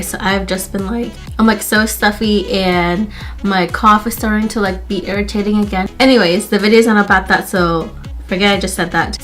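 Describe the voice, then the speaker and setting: A woman's voice: medium pitch, fairly bright tone. A woman with a stuffy nose chatting casually as she walks through a hair tutorial for her channel. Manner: chatty, friendly, repeats "literally" and "like". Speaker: beauty YouTuber.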